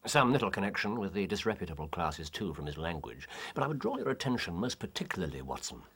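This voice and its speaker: An actor playing a shrewd, wry gentleman detective with a British accent, speaking precisely and theatrically in an audio drama. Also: low-pitched, sing-song, fast talker, husky, exaggerated voice. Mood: dry, reflective.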